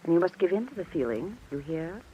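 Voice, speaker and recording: An actress playing a jealous young woman, sharp and commanding, secretly scheming, in old-time radio drama style. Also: on the low side, very animated, fairly quick, breathy edge. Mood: coaxing, controlling. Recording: muffled, noisy vintage radio recording.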